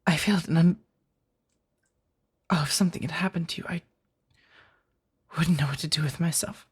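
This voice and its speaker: A woman voicing an ancient, protective vampire in an ASMR roleplay, with a breathy husky voice and a measured, formal, hushed delivery. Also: low-pitched. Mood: tender, anxious. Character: ancient female vampire, protective, stern, tender to her beloved. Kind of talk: ASMR roleplay audio drama.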